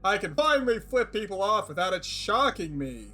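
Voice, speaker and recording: Guy playing a cocky excitable young soldier in an audio drama, voice jumps all over. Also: sits high, moderate pace, heavily acted. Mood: gleeful. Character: brash, gleeful young male soldier.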